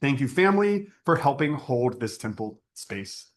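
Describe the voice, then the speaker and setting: A man leading an online spiritual service, speaking in an earnest, measured, solemn way as he reads a prepared address. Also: medium pitch, very animated, slight background noise.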